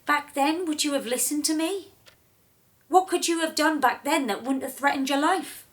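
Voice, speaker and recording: An actress playing a quietly resilient woman in an audio drama, speaking in a measured, reassuring way. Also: sits high, natural voice. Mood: calm, reflective.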